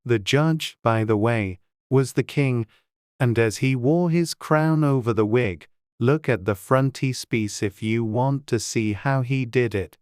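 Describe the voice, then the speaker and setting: A man's voice: mid-range voice, a little slow, darkish tone, smooth, no echo, studio quality. A man reading scripted storybook narration as an audiobook narrator.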